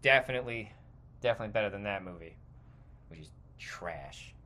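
Animated, bright-voiced man ranting. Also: fairly low pitch, brisk. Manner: sweary, opinionated. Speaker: podcast host. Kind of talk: casual stream-of-consciousness movie rant.

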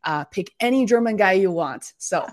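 A middle-aged woman hosting a live interview, enthusiastic and a bit halting with fillers. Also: medium pitch, lively intonation. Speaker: host.

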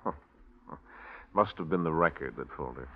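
A man's voice: rumbling low, normal speed. An actor playing a suspicious husband questioning his wife, cool and probing, in 1940s radio drama style. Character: suspicious husband coming home early. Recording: dull old radio recording.

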